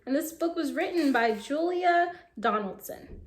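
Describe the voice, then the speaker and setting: Animated high-voiced woman reading to children. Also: a little slow. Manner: expressive, encouraging, instructive. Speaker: teacher reading a picture book aloud to children. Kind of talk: animated read-aloud with questions to young listeners. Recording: roomy echo with faint background noise.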